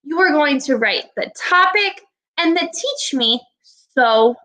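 A woman in her twenties, a teacher giving a read-aloud lesson to young children in a deliberate, instructive way. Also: high voice, animated, pushed and bright, poor audio. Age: 20s. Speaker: elementary school teacher. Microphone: laptop webcam at arm's length.

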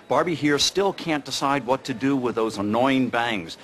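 An actor playing a sarcastic, domineering senior doctor in a sitcom, delivering mocking monologues with biting, theatrical scorn and mock sweetness. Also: male voice, medium pitch, normal speed, a bit bright, lightly acted. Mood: scornful. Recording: faint background noise.

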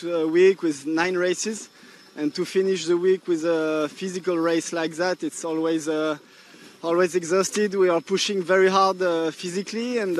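French-accented young man, halting speech. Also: a little high, a little hiss or hum. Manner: halting, many fillers and restarts, laughs often. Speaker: windsurfer being interviewed. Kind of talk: post-race interview. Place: on a coach boat out at sea. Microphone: handheld microphone.

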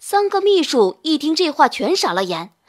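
A woman's voice: high-pitched, big pitch swings, moderate pace. A woman reading scripted, dramatic narration of a novel as an audiobook narrator.

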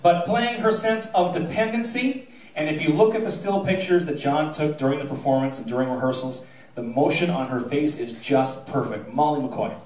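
A middle-aged American man speaks informally from a stage, announcing awards in a wry, folksy way. His voice sits a little high and rings through an echoey auditorium. It is picked up from a distance. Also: normal speed. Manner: folksy, wry, teasing delivery. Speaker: high school theatre director announcing awards. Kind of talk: informal award-ceremony speech to an audience. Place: school theatre stage, indoors. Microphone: handheld mic on PA, captured by a distant camera. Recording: echoey auditorium recorded from far off, audience noise. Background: audience murmur and laughter.

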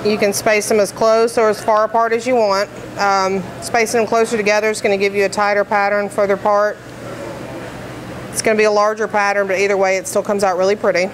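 A woman's voice: medium pitch, flat delivery, fairly quick, noisy background. A woman bakery trainer explaining decorating steps in plain, practical terms, with frequent fillers. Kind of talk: instructional demonstration. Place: commercial kitchen, indoors.

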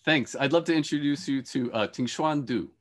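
A man's voice: medium pitch, normal speed. A man in his forties hosts an online panel with a mid-range voice. He phrases things hesitantly and repeats words as he introduces speakers. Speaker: host and moderator, professor. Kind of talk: hosting a panel introduction on a video call. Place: indoor room with shelves, video call.

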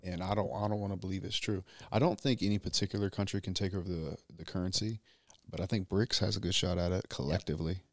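A man, a business owner talking as a podcast guest, measured and drawn out, louder when he presses a point. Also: low voice, fairly even intonation, no room sound, studio quality. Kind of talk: casual conversation. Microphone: boom mic on stand.

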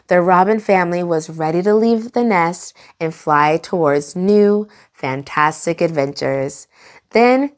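A young adult woman hosting children's storytime, reading aloud in an animated, expressive way and enunciating for young listeners. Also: medium pitch.

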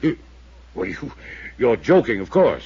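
A man's voice: mid-range voice, sing-song, a little slow, creaky, warm tone, husky, exaggerated voice. An actor playing an evasive male small-town mayor who speaks in a formal, placating, officious way in a 1950s radio drama. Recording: dull old radio transcription.

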